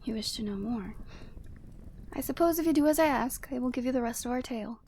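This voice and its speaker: A woman playing a proud, commanding yeti woman in a scripted ASMR roleplay, speaking in an imperious, measured and formal way. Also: on the high side, warm tone, slight background noise, close to an everyday voice. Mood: solemn, instructing.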